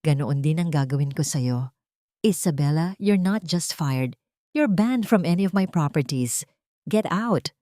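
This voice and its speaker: A woman's voice: low voice, lively intonation, a little slow, warm tone, no echo, clean studio sound. Woman voicing a cold, furious betrayed tycoon. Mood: cold anger. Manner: cold, scornful, accusing. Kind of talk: audio drama dialogue.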